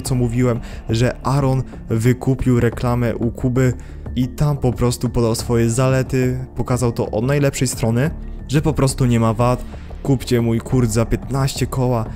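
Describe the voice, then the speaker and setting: A man in his thirties hosting a crypto channel, giving measured critical commentary in a voice-over and speaking straight to his viewers. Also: mid-range voice.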